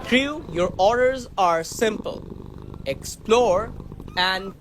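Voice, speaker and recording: A man's voice: high-pitched, animated, extremely slow, fairly bright tone, some chatter behind, slight background noise, full character voice. A man playing a pompous, melodramatic spaceship captain in a sci-fi comedy radio drama. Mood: commanding. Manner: grandiose, commanding.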